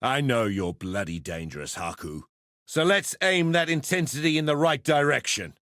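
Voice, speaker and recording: An actor playing a gruff, sardonic fighter in a video game, speaking in blunt, colloquial barks. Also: male voice, medium pitch, lively intonation, moderate pace, a bit bright, no echo, studio quality, lightly acted. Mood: determined.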